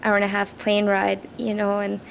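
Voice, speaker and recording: A young Canadian woman with a young-sounding voice, the bassist of a rock band, giving earnest, casual answers in an interview. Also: monotone, moderate pace, creaky, rough recording. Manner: earnest, laughs easily. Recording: raw camcorder audio with background noise.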